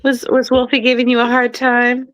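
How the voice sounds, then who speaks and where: A woman co-hosting a live stream in a casual chat, loud and talkative and quick to laugh. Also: a little high, monotone. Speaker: co-host. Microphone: streaming microphone.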